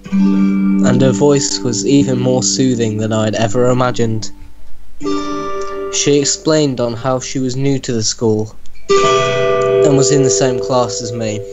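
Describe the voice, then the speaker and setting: A young man reads a story aloud to friends in a mock-dramatic manner, savouring each phrase. His voice sits at a medium pitch, and loud acoustic guitar music plays right behind it. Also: steady tone, takes it slowly, pauses often, poor audio.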